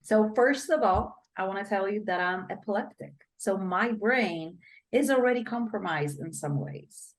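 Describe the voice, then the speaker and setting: A middle-aged woman from Poland, a brain coach giving an upbeat, expressive podcast interview as the guest. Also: medium pitch, normal speed, low-quality recording.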